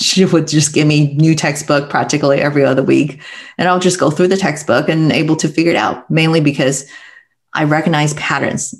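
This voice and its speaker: Reflective Taiwan-born woman telling her story. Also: deep voice. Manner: reflective, halting, with small restarts. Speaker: guest. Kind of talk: conversational interview storytelling.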